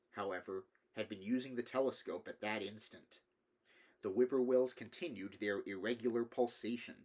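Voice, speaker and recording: Measured male reader of horror fiction. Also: fairly low pitch. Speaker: audiobook reader. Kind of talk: dramatic literary horror narration.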